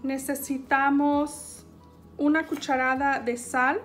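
High-voiced woman. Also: slow, soft background music. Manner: calm step-by-step instruction, addressing viewers. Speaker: cooking show host. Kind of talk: home cooking demonstration.

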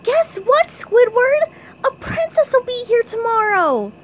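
A woman voicing an eager, childlike, bubbly male sea sponge fry cook from a cartoon storybook in an excitable way. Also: very high voice, a little slow, slight background noise, voice pushed up, exaggerated voice, low-quality recording. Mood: excited. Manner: excitable, exclamatory.

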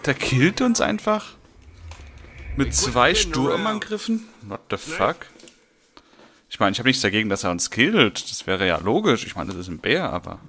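A man's voice: medium pitch, very animated, moderate pace, a crowd in the background. A man hosting a let's play with casual, rambling commentary, half talking to himself. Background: video game sounds.

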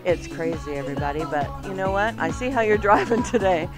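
A woman's voice: medium pitch, animated, fairly quick. A woman hosting a live radio show in a playful, chatty manner. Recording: music bed behind 1990s radio broadcast. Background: music.

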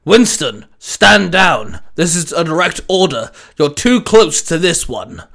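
Man as a stern commander, barking, emphatic, worried, raised pitch, sci-fi audio drama. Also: very animated, slow, creaky, a bit bright, full character voice. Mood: barking orders.

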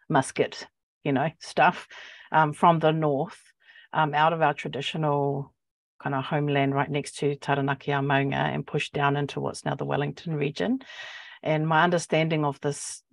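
A woman with a New Zealand accent speaks in a low register, with very little room sound. She is reflective and academic in style, often stopping and restarting as she works out a thought. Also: no echo. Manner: thoughtful, halting, searching for words. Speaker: poet and academic. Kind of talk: reflective panel talk. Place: book-lined office, indoors. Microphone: earphone mic on video call.

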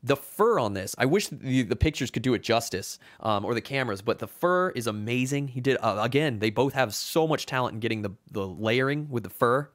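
A man in his thirties chats excitedly as co-host of a board game live stream. He laughs often and talks over a webcam from a home room, voice sitting mid-range for a man. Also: mid-range voice, fairly quick, few pauses. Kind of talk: casual live-stream chat. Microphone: webcam video call.

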